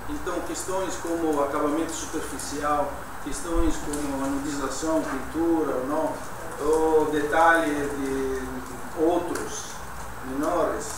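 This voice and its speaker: A man's voice: a little high, fairly even intonation, slow. A man from Italy with an Italian accent, speaking frankly and polemically at a meeting, with many hesitations. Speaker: meeting participant from a testing institute. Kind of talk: discussion at a meeting. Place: meeting room. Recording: echoey room from far off, noisy.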